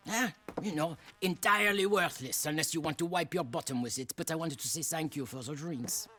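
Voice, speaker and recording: A man's voice: a little high, a little fast, sharp tone. A man playing an eccentric, bawdy, self-mocking French painter with a French accent, rambling theatrically in a video game cutscene. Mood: sheepish, grateful. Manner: theatrical, rambling, hesitant interjections.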